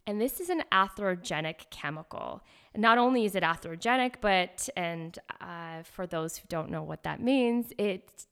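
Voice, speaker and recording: A woman's voice: fairly low pitch, expressive, normal speed, clean tone. A woman doctor, a guest on an interview, explaining medical terms step by step in an earnest way.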